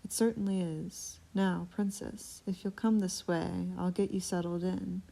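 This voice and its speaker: A woman reading aloud, voicing a calm, dry-witted female dragon from a storybook with a measured way of speaking. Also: lowish voice, gravelly, low-quality recording.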